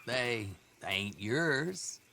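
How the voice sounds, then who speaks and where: An actor playing a shifty, folksy backwoods horse dealer, chatty and needling, in a video game. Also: male voice, mid-range voice, lively intonation, drawn-out words, creaky, bright, forceful tone, a little hiss or hum, full character voice. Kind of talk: dramatic video game acting.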